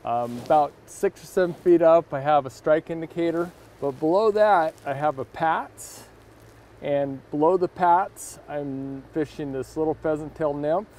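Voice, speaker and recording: Middle-aged angler host, mellow excitable voice, recorded outdoors. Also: male voice, on the high side, unhurried, slight background noise. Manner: excitable, exclaims often. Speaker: fly-fishing video host. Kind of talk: casual outdoor commentary. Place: outdoors on a riverbank.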